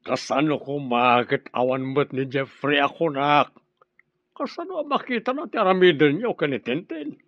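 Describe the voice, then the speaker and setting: A man playing a plain-spoken, husky-voiced character who questions and disapproves in an Ilocano radio drama. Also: a little high, lively intonation, moderate pace, no room sound, muffled recording. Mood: disapproving.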